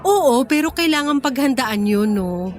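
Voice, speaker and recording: A young businesswoman girlfriend in a Filipino radio romance. Her voice sits quite high and she is expressive and teasing, playful but careful with her heart. Music plays behind her. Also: measured pace. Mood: teasing, reassuring. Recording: background music under the voice.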